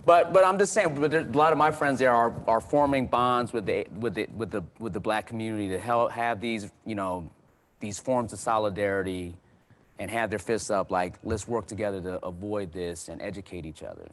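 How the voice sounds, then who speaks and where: A man with a slightly low voice talks earnestly in a panel discussion. He speaks conversationally and often repeats words or restarts sentences. His voice grows more animated as he goes on. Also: fairly quick, a little hiss or hum. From United States. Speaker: panel guest.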